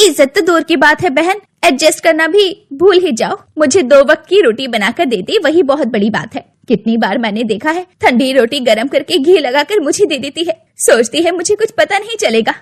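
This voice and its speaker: Woman voicing a gossipy older neighbor lady, self-pitying, complaining, cartoon story, high voice. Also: animated, moderate pace, few pauses.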